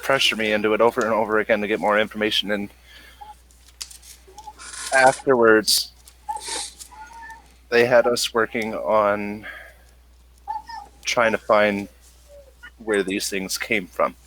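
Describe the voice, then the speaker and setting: A man narrating a tabletop role-playing game as game master, with a halting, stop-start delivery. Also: fairly low pitch, steady tone, fairly bright tone, slight background noise, poor audio.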